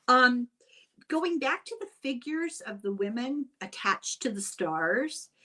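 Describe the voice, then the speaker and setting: A woman's voice: medium pitch, a bit bright. An older woman hosting a casual interview, asking inquisitive questions and wandering into asides as she talks. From Ireland. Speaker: host and interviewer.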